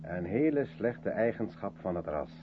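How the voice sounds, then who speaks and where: A man's voice: fairly low pitch, lively intonation, normal speed, lightly acted. An actor playing a moody, sardonic male writer in a radio play, with a terse, dry, needling manner. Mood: sardonic, bitter. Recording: muffled, phone-like 1970s radio recording, hum.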